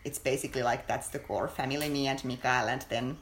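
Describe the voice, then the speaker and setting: A low-pitched woman from Finland, speaking English with a Finnish accent. She chats easily as a guest, padding her answers with "like" and "yeah", and a faint room ambience sits behind her. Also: steady tone, a little fast, slight background noise. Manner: chatty, many "like" and "yeah" fillers, slightly non-native phrasing. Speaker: podcast guest, new mother. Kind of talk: casual interview chat.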